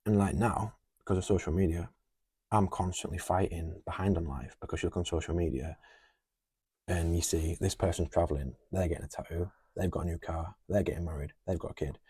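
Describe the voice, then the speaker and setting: A man's voice: low-pitched, a little fast, warm tone, breathy edge, no echo. A man, talking earnestly and musing aloud on a podcast. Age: 20s. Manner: earnest, musing, talks through lists. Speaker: podcast co-host. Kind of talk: casual heartfelt conversation.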